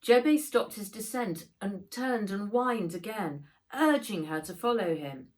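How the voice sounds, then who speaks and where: A woman reading a children's adventure book aloud in expressive narration, as an author reading her own work. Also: medium pitch, takes it slowly, rough recording.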